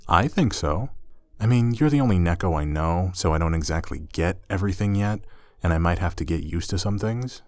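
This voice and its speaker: A man acts out a young male roommate in an intimate ASMR audio roleplay, his voice deep and recorded very dry. He is easygoing and gently teasing, a little awkward, with casual phrasing and hesitant trailing asides. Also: expressive, brisk, no echo. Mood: relaxed, friendly.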